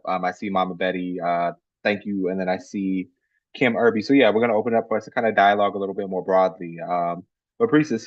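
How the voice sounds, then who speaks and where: A man with a fairly low voice moderates a panel over a video call. He talks loosely, circling back with restarts and fillers. The call audio sounds dull and dry. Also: no echo. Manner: rambling, many restarts and fillers. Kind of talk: casual panel discussion.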